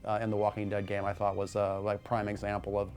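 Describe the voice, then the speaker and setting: A man giving analytical, somewhat halting explanations in an interview as a game developer. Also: on the low side, monotone, darkish tone.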